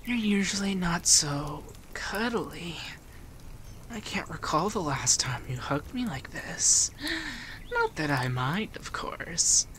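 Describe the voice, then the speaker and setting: A man playing an affectionate, teasing femboy monster companion in a soft-spoken ASMR roleplay, with an intimate, breathy, half-whispered delivery. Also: fairly high pitch, big pitch swings, slow pace, slight background noise, full character voice.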